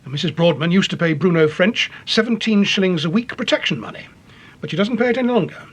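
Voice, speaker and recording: An actor playing an earnest, authoritative British police chief in a radio detective drama, grave and deliberate in manner. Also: male voice, high voice, animated, slightly rough. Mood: explanatory, earnest.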